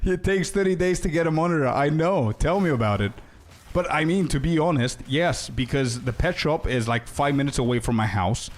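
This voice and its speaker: A man's voice: fairly high pitch, moderate pace. A young man streaming a game, chatting loosely with his viewers and telling rambling stories. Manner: loose, chatty, talks to chat as "bro", trails into asides. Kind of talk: casual live-stream chat with viewers.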